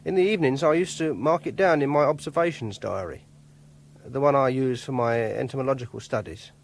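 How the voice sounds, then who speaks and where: An actor playing an obsessive, lonely, self-pitying English clerk, confiding haltingly in a first-person radio drama monologue. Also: male voice, medium pitch, creaky. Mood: wistful, secretive.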